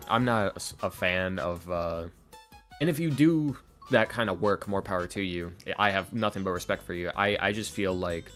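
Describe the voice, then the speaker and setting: Male podcast guest, lowish voice, loose rambling delivery with dry self-mockery, soft music underneath. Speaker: interview guest, ASMR roleplay creator. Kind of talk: casual podcast chat. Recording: music playing behind the talk. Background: background music with guitar.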